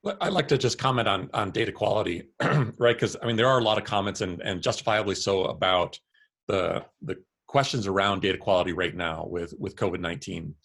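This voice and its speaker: A man's voice: low voice, fairly quick, creaky, fairly bright tone. A man speaking as a panelist in a discussion, conversational, often saying "you know" and "I mean" and repeating words.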